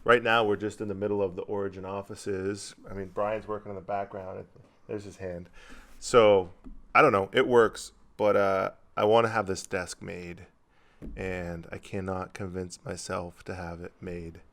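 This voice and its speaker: A man's voice: deep voice, flat delivery, moderate pace, a bit bright. A man co-hosting, easygoing and self-deprecating in casual chat. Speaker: co-host.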